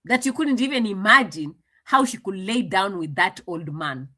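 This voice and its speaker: Chatty woman commentator, bright and lively delivery. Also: medium pitch, moderate pace. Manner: chatty, translating and mocking, laughs often. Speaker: commentator reacting to a clip. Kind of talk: casual commentary.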